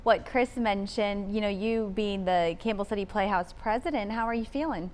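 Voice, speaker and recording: A woman's voice: medium pitch, moderate pace, darkish tone. A woman hosting a live local television interview segment with polished broadcast delivery. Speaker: TV morning show host.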